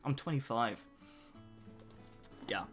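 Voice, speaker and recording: A young man in his twenties talks casually to his livestream chat. His voice sits at a middling pitch, and he jokes at his own expense. Faint music and keyboard typing run underneath. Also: slow, a bit bright. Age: 20s. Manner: chatty, self-mocking, answers chat between tasks. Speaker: streamer.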